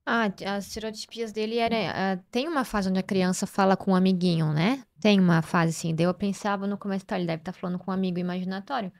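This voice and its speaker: Quick-talking woman, frank and direct. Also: mid-range voice, steady tone, vocal fry. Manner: frank, chiming in to finish others' thoughts. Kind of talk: casual conversation.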